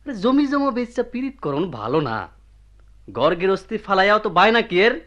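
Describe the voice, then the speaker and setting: An actor playing a meddling, mockingly preachy village friend with a rural Bangladeshi accent in a teasing radio drama style. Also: male voice, high voice, very animated, brisk, a bit bright, dull audio. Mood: mocking, admonishing. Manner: teasing, admonishing. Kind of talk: Bengali radio drama acting.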